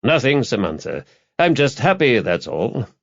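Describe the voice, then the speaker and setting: A man's voice: mid-range voice, very animated, measured pace, dull audio, pitched above the performer's own voice. A man voicing a middle-aged police detective father in an audiobook, guarded but reassuring, with terse fatherly replies. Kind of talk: audiobook character dialogue.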